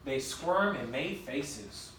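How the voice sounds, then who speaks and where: A man's voice: mid-range voice, lively intonation, slow pace, bright, forceful tone. A man reading a children's story aloud interactively, narrating and prompting the listener with questions. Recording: very echoey room, distant mic, faint noise.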